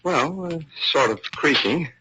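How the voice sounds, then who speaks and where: A man's voice: fairly high pitch, lively intonation, a little slow. A man acting a cowardly, comically terrified young American in an old-time radio drama, stammering and melodramatic. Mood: nervous. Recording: hissy vintage radio recording.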